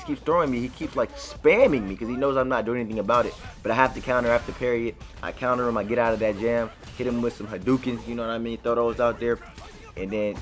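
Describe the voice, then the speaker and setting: Male gaming creator: casual running commentary, informal slang, slightly low pitch, light music underneath. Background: game music.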